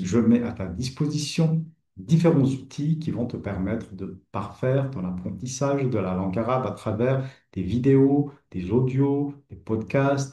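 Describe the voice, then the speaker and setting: A middle-aged man, an Arabic teacher, presenting his online course in a calm, persuasive way and speaking directly to the listener. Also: mid-range voice, roomy sound. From Kabylie, Algeria. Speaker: Arabic teacher and platform founder. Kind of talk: scripted promotional presentation.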